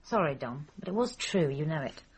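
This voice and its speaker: A young Englishwoman in a radio mystery. She is blunt and quick to challenge, with a slightly husky voice and lively, expressive pitch. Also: full character voice. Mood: frank. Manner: frank, challenging. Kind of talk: radio drama dialogue.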